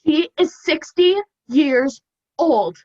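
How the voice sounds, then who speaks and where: Young woman as imperious high-pitched director, lo-fi. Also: lively intonation, takes it slowly, a little mellow, slight background noise. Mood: imperious, exasperated. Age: teenager. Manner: pompous, bossy. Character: imperious, exasperated writer-director guarding her script. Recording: dull lo-fi video-call audio.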